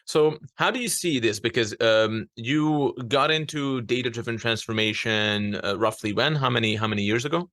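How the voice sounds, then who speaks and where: A man's voice: medium pitch, moderate pace, hardly any pauses, a bit bright, no echo, studio quality. A man from Hungary hosting a casual interview podcast, speaking with frequent hesitations and fillers. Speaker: podcast host.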